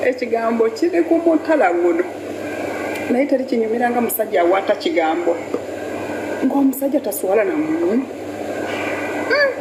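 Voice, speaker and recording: A woman's voice: on the high side, sing-song, normal speed, pauses often. A woman hosting an entertainment news channel, giving chatty, opinionated gossip commentary with little hums and laughs between points. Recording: echoey room with loud background noise.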